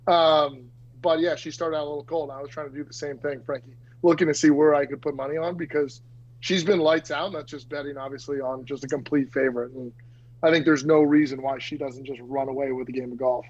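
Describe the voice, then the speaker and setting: A man co-hosting a golf podcast, talking in a laid-back way with a hoarse voice. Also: on the high side, steady tone, fairly quick, muffled recording. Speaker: podcast co-host. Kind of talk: casual chat.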